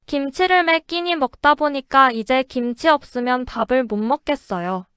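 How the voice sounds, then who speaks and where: A woman with a high, bright-pitched voice reads model sentences in an even, deliberate way. Her tone is exceptionally clear and clean, and the sound is dry and close, with no room around it. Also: dry recording. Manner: careful, even, deliberate delivery. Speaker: example sentence reader. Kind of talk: slow, clear model-sentence reading for shadowing.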